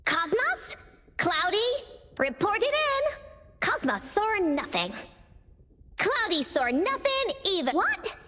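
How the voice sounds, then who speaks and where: Tiny, extremely squeaky excitable creature. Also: female voice, slow, long pauses, big-room sound, exaggerated voice. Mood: bossy, indignant. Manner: exclaiming, commanding. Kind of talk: video game voice acting.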